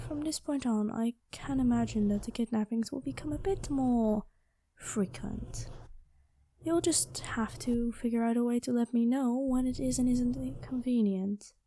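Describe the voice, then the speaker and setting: A woman voicing a gentle, lovestruck dragon queen in an ASMR roleplay, speaking softly and intimately with formal courtly phrasing, often slipping into whispers, close on the microphone. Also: fairly high pitch, slight background noise. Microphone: close microphone, dry sound.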